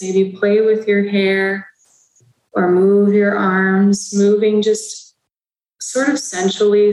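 Female meditation guide, slow soothing delivery, flat even tone, echoey room. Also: medium pitch.

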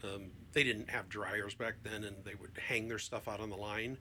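A man's voice: lowish voice, steady tone, normal speed, bright, forceful tone, slight background noise. A man talking as a therapist guest in a conversation, explaining things emphatically with illustrative examples.

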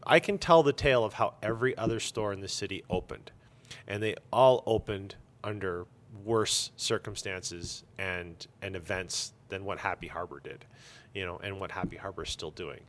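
A man's voice: lowish voice, a bit bright. A man talking casually and emphatically in an interview, giving blunt opinions as a shop owner.

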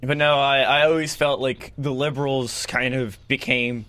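Young male co-host, bright and sharp tone, rambling and ironic, dry close mic. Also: mid-range voice, steady tone, normal speed, no room sound. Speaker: podcast co-host. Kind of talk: casual political chat.

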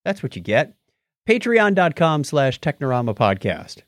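A man's voice: on the high side, sing-song, moderate pace, no echo, studio quality. A man co-hosting a casual podcast with playful, jokey banter. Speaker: podcast co-host.

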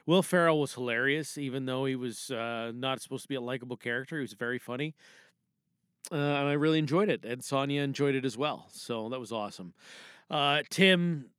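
A man's voice: medium pitch, brisk, fairly bright tone, clean tone, dry recording. Rambling man in a dry recording. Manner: rambling, loose, self-deprecating. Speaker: podcast host. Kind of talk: rambling casual solo podcast chat.

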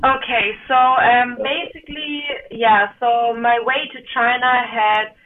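A woman's voice: a little high, steady tone, takes it slowly. A young woman from Germany, chatting as an interview guest and telling her story loosely with plenty of fillers. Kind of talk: conversational interview answers. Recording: tinny phone-line audio.

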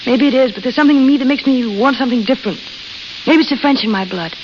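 An actress playing a frank, restless young waitress, eager and wistful, in old radio drama style. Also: female voice, high-pitched, fast talker, lightly acted. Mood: dreamy, longing. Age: young adult. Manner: plain-spoken, eager, wistful. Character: young desert waitress, dreamy, frank, restless amateur painter. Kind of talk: 1930s-40s radio drama acting. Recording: hissy, crackly, muffled old radio recording.

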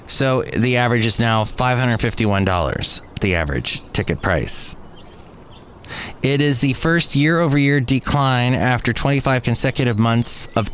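A man's voice: mid-range voice, a little hiss or hum. A man hosting a podcast, reading news items conversationally with casual, wry asides. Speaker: podcast host.

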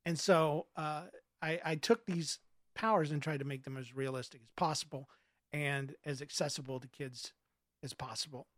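A man speaking as an author in a casual interview, giving earnest answers in a thoughtful, halting way. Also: on the high side, fairly even intonation, no room sound, clean studio sound.

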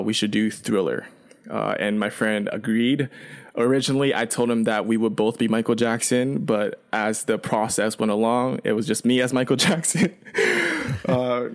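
A man in his twenties, a native Chinese speaker raised in Canada, telling his story as a podcast guest in a relaxed, rambling way. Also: medium pitch, creaky. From China, raised in Canada. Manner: relaxed, rambling, frequent fillers and restarts. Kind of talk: casual storytelling.

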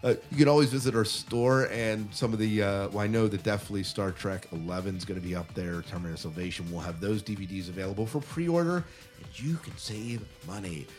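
A man with a fairly low voice hosts a podcast. He talks casually and informally, like someone chatting with listeners. Soft music plays faintly behind him. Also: fairly quick. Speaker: podcast host and interviewer.

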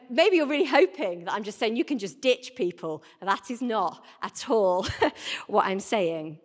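Woman preaching informally in a reverberant hall. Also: medium pitch. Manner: conversational, rhetorical questions to the listeners. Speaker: church warden giving a talk. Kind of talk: informal sermon. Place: large indoor church. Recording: reverberant hall, live talk.

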